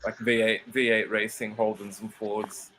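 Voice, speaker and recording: A man from Sydney with a crisp Australian accent, chatting casually as host of a livestream. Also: on the low side, little pitch movement, normal speed, background noise. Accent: Sydney Australian, crisp enunciated. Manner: chatty, self-correcting, enunciates clearly. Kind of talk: casual live chat.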